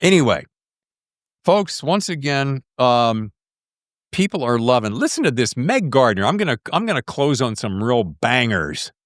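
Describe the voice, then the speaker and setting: A man's voice: medium pitch, no room sound, clean studio sound. A middle-aged American man hosting a podcast in a casual, slangy, effusive way. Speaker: podcast host. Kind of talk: casual podcast interview chat.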